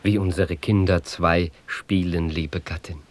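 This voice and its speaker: A man's voice: low voice, steady tone, a little slow. A man playing a contented, devoted young count and husband in a rhymed radio play. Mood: tender, content.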